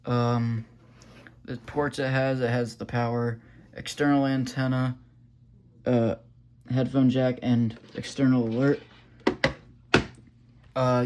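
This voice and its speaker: A man's voice: mid-range voice, darkish tone. A man giving a casual, unscripted product review as a hobbyist, thinking aloud in a loose, hesitant way. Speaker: weather radio hobbyist reviewing gear.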